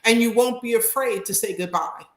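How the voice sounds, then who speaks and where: A woman's voice: fairly high pitch, normal speed. A woman in her fifties giving candid, conversational advice on a panel, emphatic and repeating phrases to drive points home. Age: 50s. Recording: roomy echo.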